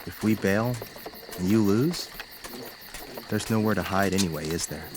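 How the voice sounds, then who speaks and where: A man's voice: deep voice, normal speed, noisy background, natural voice. A man playing a thoughtful, nerdy teenage gamer in a fantasy audio drama, with a dry, reflective manner.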